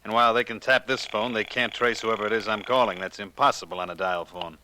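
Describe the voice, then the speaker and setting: Sharp, patronising crime boss. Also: male voice, on the low side, fairly even intonation, poor audio. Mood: smug, calm. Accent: American. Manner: measured, formal, condescending. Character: smooth, controlled crime boss, coolly patronising. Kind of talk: 1940s radio drama acting. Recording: hissy old 1940s radio recording.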